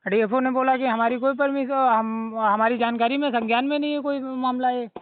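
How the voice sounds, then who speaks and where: A man's voice: very high-pitched, fairly even intonation, a little fast. A man with a North Indian accent from Uttar Pradesh, arguing on a phone call in an insistent, confrontational, lecturing way. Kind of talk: argumentative phone conversation. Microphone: phone line. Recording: tinny, narrowband recorded phone call.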